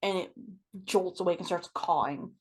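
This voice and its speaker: A woman's voice: lowish voice, normal speed, slightly rough. A woman running a tabletop game online as game master, narrating and chatting with players over a voice call. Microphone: online voice call from own mic.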